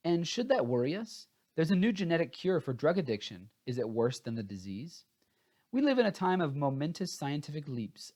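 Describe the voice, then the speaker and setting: A man's voice: medium pitch, darkish tone. Casual, scattered man rambling about reading. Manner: informal, scattered, hesitant, self-interrupting. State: tired. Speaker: book reviewer, host. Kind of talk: casual rambling book review chat.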